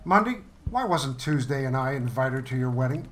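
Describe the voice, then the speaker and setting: A man's voice: mid-range voice, animated, normal speed, a little hiss or hum, close to an everyday voice. A man playing a naive, eager, meddling office buddy in a comedic audio play, guileless and nosy. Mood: mock offended.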